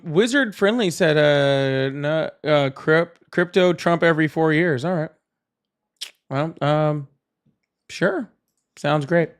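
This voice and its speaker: A man hosting a live call-in political debate in a casual style, reading out viewer messages, sarcastic and dismissive with callers. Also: on the high side.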